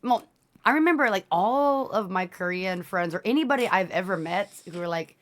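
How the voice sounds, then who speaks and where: A woman in casual conversation, reacting to a video in a chatty, expressive way full of fillers like "like" and "I mean". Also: mid-range voice, moderate pace. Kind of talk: casual chat.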